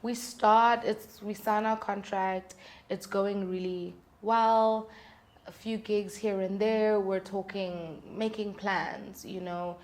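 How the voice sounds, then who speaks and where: A woman's voice: medium pitch, fairly even intonation, slow pace, a little mellow. A woman, speaking as a musician guest in candid, conversational interview storytelling. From South Africa.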